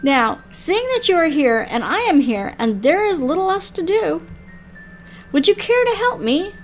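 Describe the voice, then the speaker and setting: A woman's voice: very high-pitched, sing-song, a little slow, soft background music, exaggerated voice. A woman voicing a mysterious, courteous female keeper of an otherworldly library in a visual novel, with formal, wistful phrasing. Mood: courteous, inviting. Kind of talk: visual novel character read aloud.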